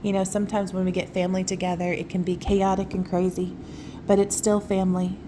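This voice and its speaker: A woman reading a children's story aloud in a casual, expressive way, with conversational asides. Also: medium pitch, a little fast, background noise. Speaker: storytime reader.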